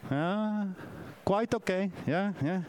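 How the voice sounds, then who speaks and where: A man from Finland in his fifties with a Finnish accent, giving a halting, self-deprecating conference talk in a second language. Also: sits high, darkish tone, clear, resonant tone. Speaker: conference speaker. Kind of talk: conference presentation. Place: conference session room.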